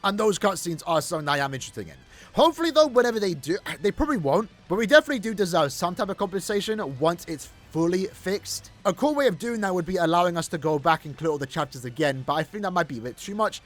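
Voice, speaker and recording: A man's voice: sits high, very animated, quick pace, faint music behind. A man giving casual, chatty and enthusiastic commentary on gameplay as a gaming YouTuber. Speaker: gaming YouTuber reviewing a mobile game's cutscenes.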